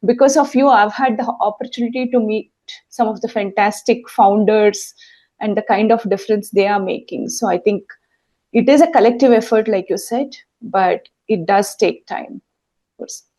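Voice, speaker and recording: Woman hosting a podcast, warm mellow tone, long rambly questions. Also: mid-range voice, moderate pace. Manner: long, winding questions with self-corrections. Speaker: podcast host. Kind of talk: interview questions.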